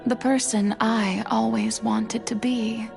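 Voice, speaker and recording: A woman's voice: on the high side, level tone, takes it slowly, creaky. A woman voicing an elegant, self-assured goddess in a video game, with refined, theatrical, grandiloquent diction and a playful yet wistful temper. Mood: wistful, tender. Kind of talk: video game character monologue. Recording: background music under studio voice.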